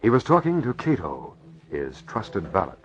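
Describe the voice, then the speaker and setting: A deep-voiced man delivers dramatic narration for an old radio serial. His pitch moves with plenty of swing. The vintage recording is muffled. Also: huge pitch swings, moderate pace.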